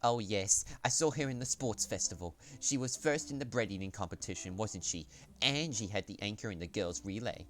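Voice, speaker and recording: A man's voice: on the low side, lively intonation, fairly quick, fairly bright tone. A man putting on a chatty Kiwi mum. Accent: attempted New Zealand. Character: friendly adult mother, chatty and warm.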